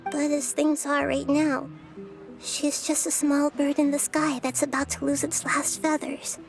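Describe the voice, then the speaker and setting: A woman voicing a small, wise and calm girl goddess in a video game, light and girlish, explaining things in a gently cryptic way. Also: high voice, measured pace. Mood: solemn. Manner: explanatory, speaks in analogies. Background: music.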